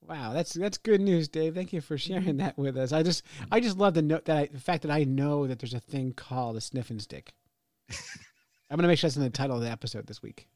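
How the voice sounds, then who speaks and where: A man's voice: a little high, brisk, a little mellow, no echo. Guy hosting a podcast, jokey, laughs a lot, dry close mic. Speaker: podcast host. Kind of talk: casual chat.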